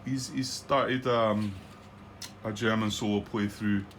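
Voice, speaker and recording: Rambling Scottish man, lowish voice. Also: steady tone, slow pace, fairly bright tone, a little hiss or hum. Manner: rambling, hesitant, lots of ums and restarts. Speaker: board wargame channel host. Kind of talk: casual commentary on a solo board game playthrough.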